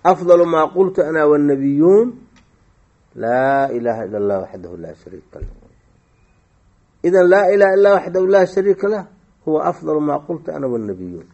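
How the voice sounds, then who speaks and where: A man's voice: a little high, sing-song, brisk, pauses often, darkish tone, muffled recording. A man teaching a classical Arabic grammar lesson, explaining points and quizzing his students with short questions.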